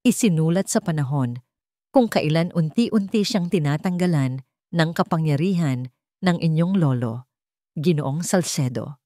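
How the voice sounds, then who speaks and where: A woman narrator plays a wronged heiress in a dramatic audio story. She is calm, cold and commanding, speaking with firm authority. The sound is dry and close. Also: sits low, measured pace, dry recording. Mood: cold, accusing.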